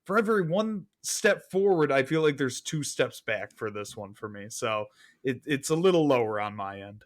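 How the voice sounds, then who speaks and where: A man's voice: medium pitch, lively intonation, normal speed. A man speaking as a podcast guest in a casual film review chat, candid and conversational with rhetorical asides.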